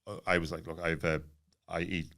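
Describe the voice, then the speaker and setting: A man in his late thirties with a low-pitched voice tells a casual personal story. He talks very quickly, looping back and jumping around as he goes, with plenty of filler words. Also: flat delivery, creaky, sharp tone. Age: late 30s. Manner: rambling, jumps around, many filler words. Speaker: podcast guest.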